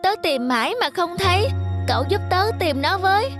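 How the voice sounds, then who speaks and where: High-pitched earnest cartoon child. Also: female voice, animated, normal speed, over music. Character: young children, close friends, in a gentle moral tale. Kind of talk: Vietnamese children's cartoon dubbing.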